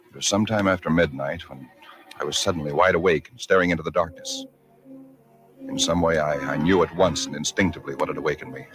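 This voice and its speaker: An actor playing a shaken, dread-filled husband in 1940s radio suspense, earnest and halting, on a vintage recording. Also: male voice, very deep voice, over music, low-quality recording. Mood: haunted, dread-filled. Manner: halting, earnest, repeats words.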